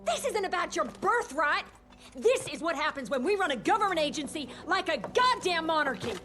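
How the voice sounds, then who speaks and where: Stern, skeptical doctor. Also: female voice, very high-pitched, sing-song, brisk, over music. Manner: sharp, stern and argumentative. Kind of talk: video game cutscene acting.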